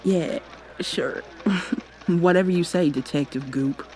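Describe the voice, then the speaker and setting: A woman's voice: fairly low pitch, takes it slowly, darkish tone, a little hiss or hum. Woman putting on a mocking, sneering police colleague. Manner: mocking, drawling taunts. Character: sneering human police colleague, taunting bully. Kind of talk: ASMR roleplay acting.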